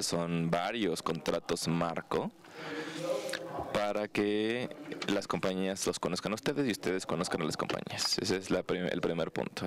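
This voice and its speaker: A man interpreting a lecture aloud, clause by clause. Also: sits low.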